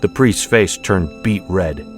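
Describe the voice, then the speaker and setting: A man narrates a dramatic story in a fairly low voice. Soft music runs underneath his scripted, storytelling delivery. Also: takes it slowly. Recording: music behind the voice. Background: background music.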